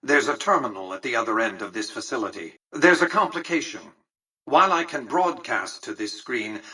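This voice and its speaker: Husky, haughty tycoon. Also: male voice, mid-range voice, pushed and bright, recorded from a distance, heavily acted, poor audio. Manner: precise, formal, haughty. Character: imperious, calculating old male tycoon, coolly condescending. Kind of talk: video game character dialogue.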